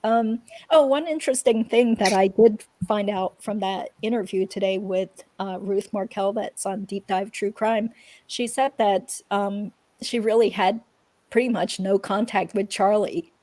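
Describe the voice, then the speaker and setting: A woman's voice: mid-range voice, steady tone, a little slow, dark tone, dry recording. A woman hosting a livestream, chatting informally in a casual commentary style. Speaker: livestream host. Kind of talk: casual chat, true-crime commentary.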